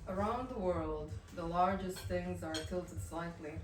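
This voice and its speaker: A woman from Bosnia, a poet reading her work aloud and introducing each poem in a soft, halting way, her not English. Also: lowish voice, soft background music. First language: Bosnian-Croatian-Montenegrin-Serbian. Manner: soft-spoken, halting between poems with fillers. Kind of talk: poetry reading with spoken introductions. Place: café reading venue. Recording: roomy echoey café, voice from far off, background noise.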